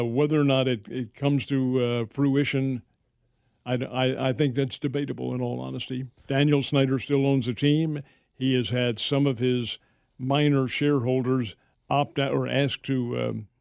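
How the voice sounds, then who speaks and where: A man's voice: mid-range voice, little pitch movement, measured pace, creaky, darkish tone, no room sound, studio quality. A man hosting a sports radio talk show in a casual style, weighing his opinions carefully and often restarting his sentences. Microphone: studio microphone.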